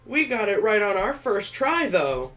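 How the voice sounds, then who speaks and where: A man voicing excitable little children in a visual novel, in a raised, childish voice. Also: high-pitched, a little slow, voice pushed up, heavily acted. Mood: cheeky, excited. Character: excitable little children reciting riddles and squealing.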